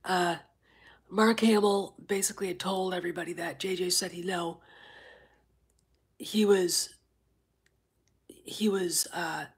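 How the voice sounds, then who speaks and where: A woman's voice: lowish voice, steady tone, takes it slowly, pauses often. A woman hosting a casual livestream, a little stuffed up from congestion, rambling with many fillers and breaking off to greet chat viewers. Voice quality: very husky, often near a whisper. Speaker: livestream host.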